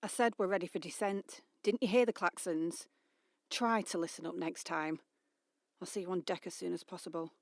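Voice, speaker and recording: A woman acting in a radio drama as a brisk submarine captain, commanding, dry and condescending. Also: on the low side, fairly even intonation, dry recording, natural voice. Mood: curt. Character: brisk, bossy, sardonic female submarine captain. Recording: loud vehicle-like ambient noise.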